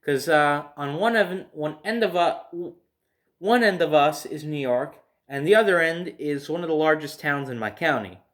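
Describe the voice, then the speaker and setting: American guy, kinda higher voice, just rambling through a personal story casually. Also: normal speed, a bit bright.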